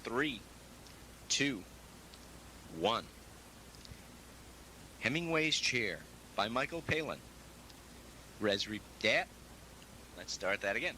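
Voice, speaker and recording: A man's voice: mid-range voice, animated, slow pace, pauses often, bright, forceful tone, slight background noise, poor audio. A man reading a scripted book review over repeated takes, breaking off into jokey, self-mocking asides. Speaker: book reviewer recording narration.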